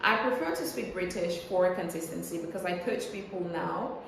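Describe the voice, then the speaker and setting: A woman's voice: lowish voice, normal speed, vocal fry. A woman coaching English pronunciation in a conversational, explanatory style, enunciating carefully and posing rhetorical questions like a teacher. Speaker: English pronunciation coach and air traffic controller. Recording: very echoey room with faint noise.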